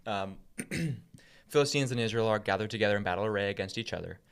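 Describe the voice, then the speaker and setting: A man with a low voice co-hosts a casual podcast. He explains things in plain, everyday words, like someone retelling a story among friends. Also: quick pace, smooth. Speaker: podcast co-host.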